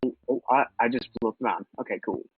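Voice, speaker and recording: A young man from Minnesota co-streaming a game, excitable and jokey, swearing at mishaps. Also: mid-range voice, quick pace, husky, no echo. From USA, Minnesota. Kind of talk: casual gaming stream chat. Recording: tinny phone-like voice chat.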